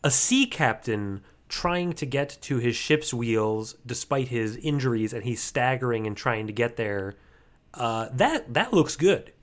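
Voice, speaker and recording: A man's voice: medium pitch, lively intonation, measured pace. A man co-hosting a podcast in casual chat, riffing on a cartoon with a wry, loose manner as he searches for words. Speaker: podcast co-host.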